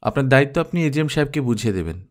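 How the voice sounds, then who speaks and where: A man's voice: mid-range voice, expressive, very fast, natural voice. A man voicing a composed young woman boss in an audiobook, speaking in a matter-of-fact, decisive way. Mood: cool, firm. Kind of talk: audiobook character dialogue.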